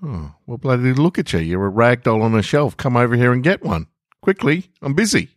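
A man reading the part of a busy, affectionate father in a short story, offhand and halting. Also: mid-range voice, sing-song, no room sound, clean studio sound, pitched above the performer's own voice, natural voice. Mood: gruffly affectionate.

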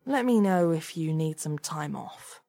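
A woman's voice: low voice, expressive, unhurried, mellow. A woman voicing a blunt male office manager in an audiobook, dismissive, offhand and placating.

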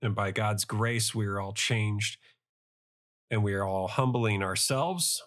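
Lower-voiced preacher, raspy from a cold. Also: male voice, a bit bright. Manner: earnest, devout, measured phrasing. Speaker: podcast preacher. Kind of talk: Bible study sermon with prayer.

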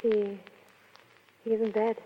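Subdued, lovelorn 1930s radio heroine. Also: female voice, medium pitch, flat delivery, slow pace, breathy edge. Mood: anxious, restrained. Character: subdued, lovelorn woman following a legionnaire. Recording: muffled 1930s radio recording with music.

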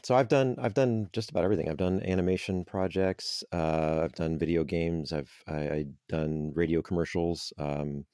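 A man with a low voice talks casually as an interview guest. The sound is close and dry, and he rambles a bit, circling back over his words as he thinks. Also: moderate pace, hardly any pauses, dark tone, clean tone, no room sound. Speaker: interview guest, voice actor and audio drama creator.

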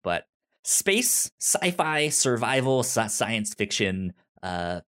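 Slow-talking man with a pronounced stutter. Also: mid-range voice, sing-song, a bit bright, smooth. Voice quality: pronounced stutter with repeated initial sounds. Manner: halting, informal, hesitant phrasing. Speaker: podcast host. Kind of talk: casual film pitches on a talk podcast.

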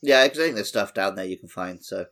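A man's voice: lowish voice, expressive, quick pace, gravelly, fairly bright tone. A man co-hosting a podcast in a casual, jokey and informal way. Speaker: podcast co-host. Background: music.

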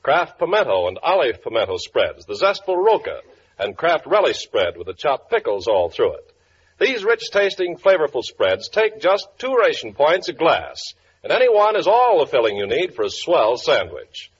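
A man's voice: a little high, wildly swooping pitch, moderate pace, slightly rough. A man reading a 1940s radio commercial as an announcer, with emphatic, enthusiastic salesmanship. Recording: dull, faintly noisy vintage radio broadcast.

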